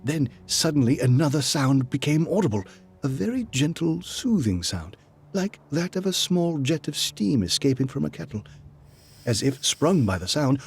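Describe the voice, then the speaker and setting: An actor playing an earnest, apprehensive, loyal gentleman companion and storyteller in an audio drama, with formal dramatic delivery. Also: on the high side, husky, heavily acted. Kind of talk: audio drama acting and first-person narration.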